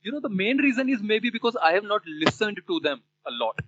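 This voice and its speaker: Man, Indian English accent, native Hindi, bright tone, a bit high, muffled call audio. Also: big pitch swings, brisk, no room sound. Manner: thoughtful, many fillers and restarts. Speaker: learner. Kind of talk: casual conversation practice.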